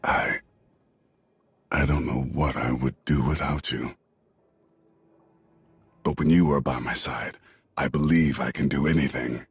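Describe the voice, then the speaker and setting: An actor voicing a solemn, self-doubting reformed warrior in a video game, with a halting, grave delivery. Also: male voice, steady tone, no room sound, exaggerated voice. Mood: tender. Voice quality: very deep, husky. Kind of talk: video game cutscene acting.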